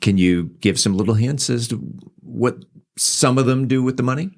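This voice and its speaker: A man interviewing a guest on a podcast, conversational, putting his questions in a halting, deliberate way. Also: mid-range voice.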